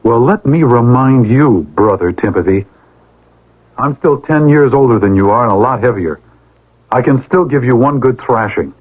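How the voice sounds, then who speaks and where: An actor playing a stern, responsible older brother in a radio drama. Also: male voice, medium pitch, moderate pace, close to an everyday voice. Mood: stern, reproachful. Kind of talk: 1980s radio drama acting with narration. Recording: tinny old radio broadcast.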